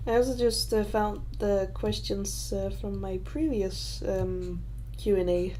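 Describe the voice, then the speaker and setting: A woman's voice: mid-range voice, steady tone, measured pace, dark tone, slight background noise. Young Danish woman rambling through a livestream. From Denmark. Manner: rambling, hesitant, many fillers and restarts. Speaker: livestream host answering questions. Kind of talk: casual Q&A livestream chat.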